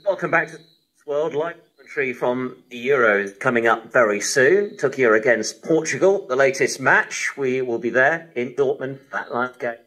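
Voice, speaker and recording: A man's voice: medium pitch, expressive, normal speed, fairly bright tone, low-quality recording. A man presenting live sports news as a radio host, heard over a shortwave radio broadcast.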